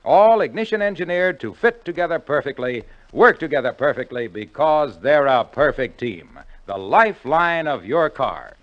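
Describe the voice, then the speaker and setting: A man's voice: fairly high pitch, sing-song, moderate pace, hardly any pauses, lo-fi sound. An American man announcing on 1940s radio with a polished, emphatic delivery. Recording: band-limited vintage 1940s radio broadcast.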